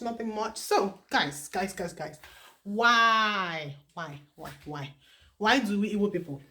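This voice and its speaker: Woman, casual vlog to camera, animated, repeats rhetorical questions, medium pitch. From Nigeria. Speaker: vlogger. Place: home in the UK.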